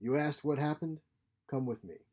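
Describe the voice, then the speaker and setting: A man's voice: a little high, normal speed, darkish tone, close to an everyday voice. A man voicing a genial grandfatherly scientist for a story, courteous, proud and theatrical in manner. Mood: proud, teasing. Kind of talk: storybook character voice.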